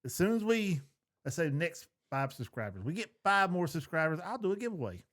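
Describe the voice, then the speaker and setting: A man's voice: fairly high pitch, big pitch swings, a little fast, a bit bright. A middle-aged man chatting casually as a podcast co-host, folksy, opinionated and free with swearing. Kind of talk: casual chat.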